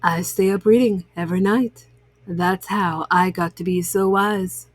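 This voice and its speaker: A woman voicing a wise, calm, bookish owl in a children's story, giving matter-of-fact advice. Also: mid-range voice, measured pace, dry recording, voice pushed down, lightly acted. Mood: calm, knowing.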